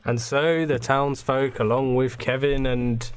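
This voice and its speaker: A man's voice: medium pitch, steady tone, unhurried, clear, resonant tone. A man narrating an improvised comic radio play in a storytelling style. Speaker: podcast narrator.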